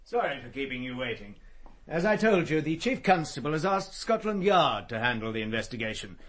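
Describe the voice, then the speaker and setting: Overworked police detective, wry and informal radio drama dialogue, husky, very varied intonation. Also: male voice, mid-range voice, sing-song, fairly quick, fairly bright tone. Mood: formal. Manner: informal, sardonic, chatty.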